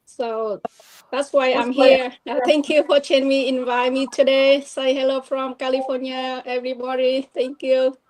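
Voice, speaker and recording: Panel guest: woman, Vietnamese accent, halting broken English, flat high voice. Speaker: guest entrepreneur. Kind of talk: live online panel. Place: home in California.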